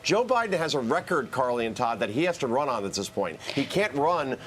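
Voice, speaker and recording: A man's voice: mid-range voice, big pitch swings, a little fast, a bit bright, a little hiss or hum. An American man giving emphatic political commentary as a guest on live television, building lists and rhetorical questions.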